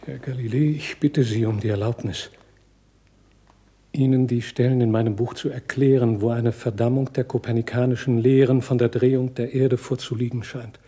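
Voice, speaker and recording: A male actor plays a supplicating scholar in a radio play. His voice is dark and veiled with huskiness, and he speaks formally and humbly, as one begging to be heard. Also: medium pitch, steady tone, normal speed, husky, lightly acted. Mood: deferential, anxious. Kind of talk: German radio play acting.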